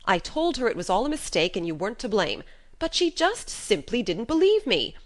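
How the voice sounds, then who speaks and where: A woman's voice: on the high side, very animated, a little fast. A woman voicing a blunt, strict older spinster guardian in a storybook reading. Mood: scolding. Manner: blunt and scolding.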